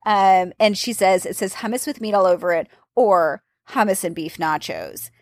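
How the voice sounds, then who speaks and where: A woman's voice: mid-range voice, lively intonation, moderate pace, dry recording. A woman chatting casually, walking through a recipe step by step. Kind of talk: casual chat about cookbooks.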